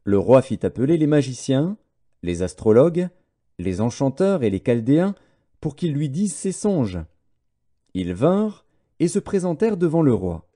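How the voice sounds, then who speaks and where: Male audiobook narrator for scripture: deep-toned mellow timbre, lively intonation, formal and measured.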